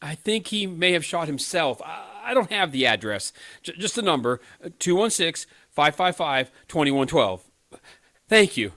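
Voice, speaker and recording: A man's voice: a little high, big pitch swings, moderate pace, fairly bright tone. An actor in a radio play, playing an earnest, principled younger man who works on a crisis hotline and speaks with moral sincerity. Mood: alarmed.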